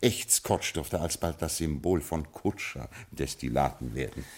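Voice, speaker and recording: An actor playing a ruined, absent-minded Scottish lord in a 1950s radio drama, with a stately, fatalistic manner. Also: male voice, very deep voice, lively intonation, unhurried, a little mellow, a little hiss or hum, exaggerated voice. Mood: resigned stately.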